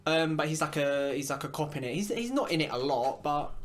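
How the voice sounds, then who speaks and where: Young male co-host, slightly raised pitch, eager casual chatter with loose swearing. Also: animated, brisk. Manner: enthusiastic, repeats praise words, swears casually. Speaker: podcast co-host. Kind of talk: casual chat.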